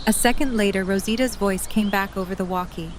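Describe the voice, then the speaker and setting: A woman's voice: mid-range voice, brisk. A woman narrating a scripted audio drama story. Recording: clearly noisy nature ambience behind voice.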